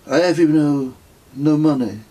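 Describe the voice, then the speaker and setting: A man's voice: medium pitch, lively intonation, measured pace, warm tone, husky, pitched above the performer's own voice. A man voicing a serene, smug male pilgrim who speaks broken English with a strong French accent, in a sing-song, self-satisfied way.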